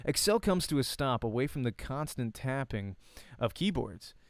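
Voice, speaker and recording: A man's voice: mid-range voice, big pitch swings, normal speed, dry recording, clean studio sound. A man reading the narration of a visual novel aloud as narrator and voice actor.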